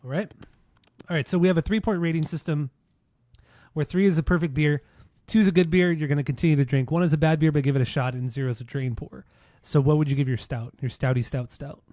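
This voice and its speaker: A man's voice: a little high, steady tone, fast, mellow, no room sound. A man co-hosting a casual podcast with a friend, laid-back and joking. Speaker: podcast co-host. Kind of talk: casual chat. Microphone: desk microphone on a mixer.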